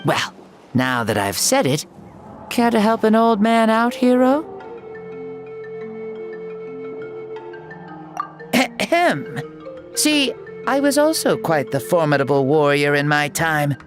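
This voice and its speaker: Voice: medium pitch, big pitch swings, a little slow, over music. An actor voicing a jovial, boastful ancient warrior hero in a game cutscene, talking in a playful, teasing way that turns grandly theatrical.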